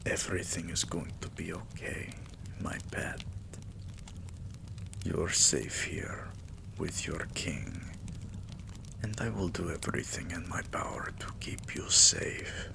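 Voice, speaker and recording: A man playing a doting, possessive vampire king in an intimate ASMR roleplay, breathy and soothing, coaxing with pet names. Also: deep voice, slow pace, pauses often, full character voice. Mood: soothing, tender. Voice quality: breathy, whispery.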